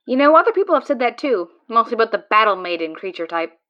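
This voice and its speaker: A woman's voice: a little high, very animated, quick pace, dull audio. A woman playing a tense, sarcastic card player trying to save her friend in an audio drama, with a biting, dramatic delivery. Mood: scornful.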